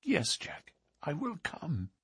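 A man's voice: fairly high pitch, huge pitch swings, slow, sharp tone, slightly rough, no room sound, studio quality, pitched above the performer's own voice, heavily acted. A man voicing a devoted, trusting young woman in love for a storybook, speaking tenderly and simply. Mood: tender devotion.

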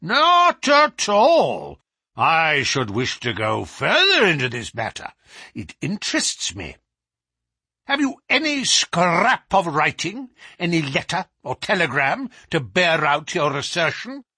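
A man voicing an imperious, malicious old professor with sharp, sneering questions in a storybook reading. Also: big pitch swings, a little slow, breathy edge, no echo, clean studio sound, heavily acted. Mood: cold, suspicious. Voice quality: high, screaming when roused. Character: malicious old male professor, imperious, erupting into screaming rage. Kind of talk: dramatised audiobook reading.

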